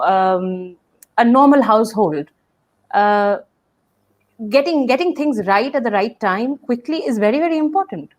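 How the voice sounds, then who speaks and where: Hesitant woman hosting a webinar. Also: medium pitch. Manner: hesitant, searches for words. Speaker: host and drama educator. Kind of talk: webinar conversation.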